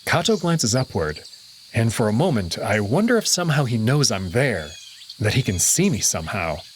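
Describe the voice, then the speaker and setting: Man, low voice, lively intonation, first-person story narration, faint crickets behind. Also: normal speed, slight background noise. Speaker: narrator of a visual novel.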